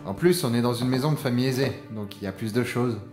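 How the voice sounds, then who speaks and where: A man playing an easygoing explorer sidekick in an audio drama, eager and fond of history. He chats casually at a middling pitch. The sound is roomy and echoing, with music faintly behind. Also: fast, natural voice. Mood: fascinated. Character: male urban-exploration video maker, enthusiastic history-loving sidekick. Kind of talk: French audio drama, naturalistic dialogue. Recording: roomy echo, music underneath.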